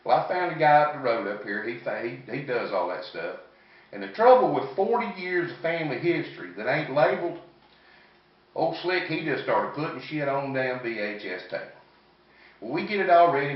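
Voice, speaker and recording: Echoey, distant Southern man telling folksy stories. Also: mid-range voice. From American South. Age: 50s. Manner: folksy, rambling, salty-tongued. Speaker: comedian. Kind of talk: stand-up comedy storytelling. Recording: echoey, distant, muffled room recording.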